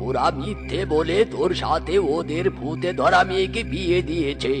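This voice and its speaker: A man's voice: a little high, moderate pace, over music, lightly acted. A man voicing a scolding, exasperated father in a comic animal cartoon, with a brusque manner. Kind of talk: cartoon voice acting.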